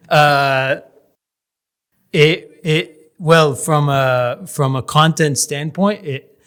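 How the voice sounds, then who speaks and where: A man from Canada, a guest in a casual interview, talking in a measured way and often pausing to search for words. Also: on the high side, fairly bright tone, smooth. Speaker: podcast guest, real estate developer.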